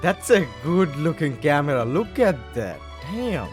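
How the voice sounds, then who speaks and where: Male gamer, fairly high voice, lively swooping intonation, casual playthrough commentary, repetitive, game music behind. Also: measured pace. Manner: informal, exclamatory, repeats himself. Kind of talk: casual let's-play commentary.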